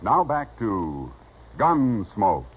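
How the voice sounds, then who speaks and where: A man's voice: fairly low pitch, wildly swooping pitch, extremely slow, background noise. An American man announcing a vintage radio commercial as an emphatic, folksy salesman. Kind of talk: 1950s radio advertising pitch. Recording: dull old radio recording.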